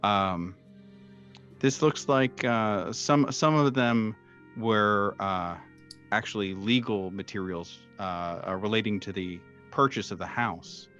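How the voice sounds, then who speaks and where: A man acting as game master, narrating a tabletop horror mystery in a halting, hesitant way. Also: on the low side, a bit bright. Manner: halting, hesitant delivery with frequent long hesitations. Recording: dull lo-fi sound, music behind. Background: background music.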